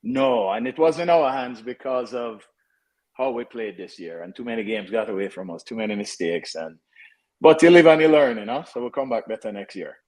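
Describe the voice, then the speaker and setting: A Jamaican man in his fifties, a soccer head coach, talking casually in an interview with relaxed fillers and restarts. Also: mid-range voice, moderate pace.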